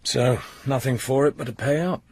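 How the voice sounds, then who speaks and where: A man's voice: mid-range voice, moderate pace, a little mellow, dry recording, natural voice. A man playing a cool young British secret agent in a radio drama, with a terse, questioning, respectful manner. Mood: dutiful, wry. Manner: terse, questioning, deferential to superiors.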